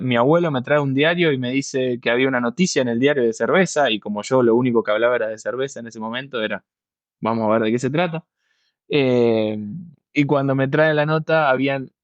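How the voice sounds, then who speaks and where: A man's voice: mid-range voice, no echo, muffled recording. A man in his thirties with a Buenos Aires accent, telling his story conversationally as an interview guest. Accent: Buenos Aires Argentine. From Buenos Aires, Argentina. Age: 30s. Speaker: guest expert, yeast scientist.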